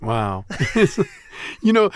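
A man's voice: mid-range voice, big pitch swings, extremely slow, clean tone, no echo. Enthusiastic man chatting in a dry studio. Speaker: radio expert guest. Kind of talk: conversational interview about old radio.

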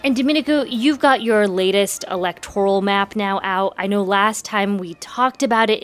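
A woman's voice: medium pitch, no echo. Woman host, dry close sound. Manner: asks brisk, curious follow-up questions. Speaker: podcast host and campaign correspondent. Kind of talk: hosting a political podcast.

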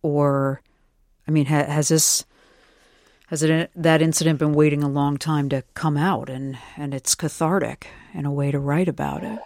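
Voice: mid-range voice, steady tone, normal speed, a little mellow, no echo. Radio interviewer, conversational and attentive, thoughtful questioning delivery, very dry recording.

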